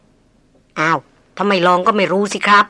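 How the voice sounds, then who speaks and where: A composed male jungle hunter, as a woman narrator puts him on, speaking flatly and without hurry. The audiobook voice is bright and crisp, recorded close and dry. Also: mid-range voice, dry recording, lightly acted. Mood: calm. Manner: flat, unhurried, matter-of-fact. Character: calm, steady male jungle hunter guide.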